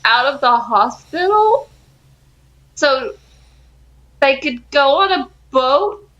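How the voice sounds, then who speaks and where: A woman co-hosting a podcast, chatting casually about a TV show with halting, hesitant phrasing. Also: sits high, lively intonation, fairly bright tone, muffled recording. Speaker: podcast co-host.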